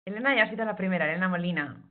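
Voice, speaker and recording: A woman hosting an online event, introducing the guest speaker and making brisk announcements. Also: lowish voice. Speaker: event host. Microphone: video call. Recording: tinny phone-like online call audio.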